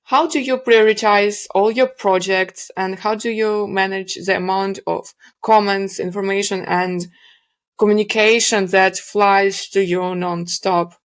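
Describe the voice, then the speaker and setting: Host: woman, mid-pitched, asks questions in slightly imperfect English. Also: steady tone, measured pace. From Ukraine. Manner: non-native English with small slips, warm laughs. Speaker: podcast host. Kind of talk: interview questions.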